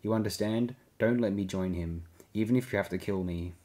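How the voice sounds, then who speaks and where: A man voicing a tough, guarded, bitter woman in an audiobook, with a low, curt and intense manner. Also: fairly quick, mellow, lightly acted. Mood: grim, intense.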